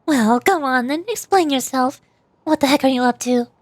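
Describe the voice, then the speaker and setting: A high, indignant granny acted out by a woman from a video game, bossy and hot-tempered. She scolds in exclamations, in a dry, close recording. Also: normal speed, dry recording. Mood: indignant scolding. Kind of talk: video game character acting.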